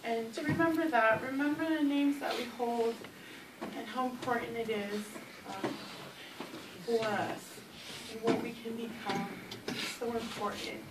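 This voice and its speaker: A woman wrapping up a family reunion with loud, heartfelt, halting remarks full of fillers. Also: a little high, steady tone. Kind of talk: emotional closing remarks. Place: large room at family gathering. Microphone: distant from recorder. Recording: echoey room, distant, loud noise.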